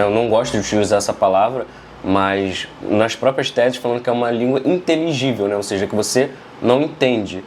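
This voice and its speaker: Calm Brazilian man explaining lyrics. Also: medium pitch, a little hiss or hum. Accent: Brazilian Portuguese. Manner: measured, explanatory, many "né?" tags.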